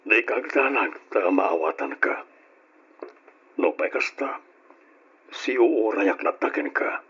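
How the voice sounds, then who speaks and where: A man playing a patient, devoted suitor in a radio drama, speaking earnestly in a husky voice with an Ilocano accent. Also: fairly low pitch, animated, slow pace, pauses often, fairly bright tone, full character voice. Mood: tender, pleading. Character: patient, devoted male suitor pleading his love. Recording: dull old radio drama recording.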